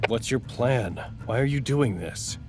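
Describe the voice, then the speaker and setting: A man's voice: medium pitch, animated, unhurried, loud music behind the voice, close to an everyday voice. A man acting in an audio drama as an earnest, exasperated staffer caught between feuding factions. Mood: alarmed, questioning. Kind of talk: audio drama acting with formal log narration.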